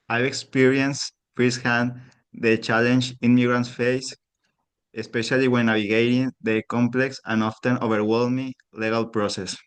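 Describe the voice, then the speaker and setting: A man from Mexico with a Mexican Spanish accent, giving an earnest prepared pitch over a remote call while unwell. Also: clear, resonant tone. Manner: steady, earnest delivery, pausing to ask for the next slide. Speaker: pitch contestant.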